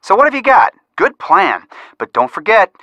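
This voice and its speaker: A man voicing an upbeat, sensible young amateur detective in a video game, with an energetic, friendly, gently teasing manner. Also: high voice, moderate pace, no room sound, muffled recording, exaggerated voice. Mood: keen, teasing.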